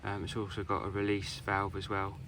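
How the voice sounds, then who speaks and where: A British man giving a casual hands-on product review in an informal, halting way. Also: on the low side, monotone, fairly bright tone, a little hiss or hum.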